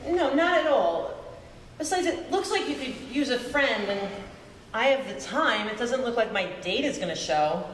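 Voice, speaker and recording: An actress playing a friendly, curious young movie fan, casual and sympathetic, in a live stage play. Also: female voice, a little high, animated, moderate pace, lightly acted. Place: stage of a theater, live performance. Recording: echoey hall, faint noise.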